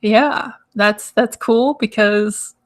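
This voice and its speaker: A woman hosting a casual live stream, chatty and rambling, with a hoarse, crackly, nasal voice from congestion. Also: medium pitch, steady tone, slow. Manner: chatty, rambling, laughs often. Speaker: host. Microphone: microphone.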